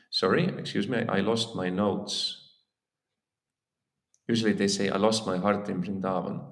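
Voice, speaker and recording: A man's voice: lowish voice, a bit bright. A man with an Estonian accent gives an informal religious lecture in a temple, speaking earnestly and often repeating words while he searches for phrasing. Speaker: lecturer on scripture. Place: temple hall.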